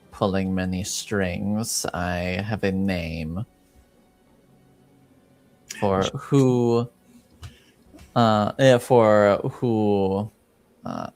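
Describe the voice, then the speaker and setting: A man playing a formal, guarded informant in a fantasy tabletop game, speaking in measured, halting, carefully worded phrases. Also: on the low side, clean tone. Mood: measured, grave. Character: formal, guarded male informant reporting intrigue in a fantasy world. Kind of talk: tabletop roleplay, improvised in character.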